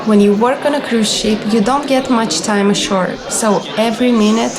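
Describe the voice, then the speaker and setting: A young woman reading scripted travel vlog narration in a clear, orderly, explanatory manner. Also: fairly high pitch, normal speed, crowd noise, low-quality recording. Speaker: vlogger and cruise ship receptionist. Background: background music.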